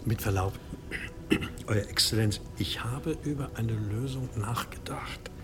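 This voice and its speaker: An actor playing an obsequious, scheming medieval monastery exorcist in an audio drama, deferential, hesitant and persuasive. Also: male voice, lowish voice, takes it slowly, music in the background. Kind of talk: German audio drama acting.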